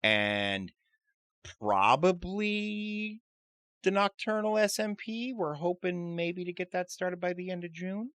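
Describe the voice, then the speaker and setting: Man, podcast co-host, lively pitch, bright forceful tone, swears a lot. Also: slow pace, dry recording. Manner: profane, animated storytelling with put-on voices. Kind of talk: casual chat.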